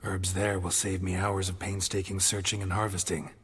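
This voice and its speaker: A man playing a gruff, world-weary monster hunter in a video game, husky and gravelly, terse and dryly understated. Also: deep voice, monotone, normal speed, a bit bright, long reverb tail, exaggerated voice. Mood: matter-of-fact.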